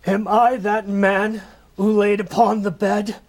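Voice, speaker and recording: A repentant old miser in a comic audio play, with a very high voice for a male. He speaks slowly and theatrically, full of exclamation and raw emotion. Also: flat delivery, darkish tone, exaggerated voice. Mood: desperate pleading. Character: repentant old male miser, desperate then giddily overjoyed.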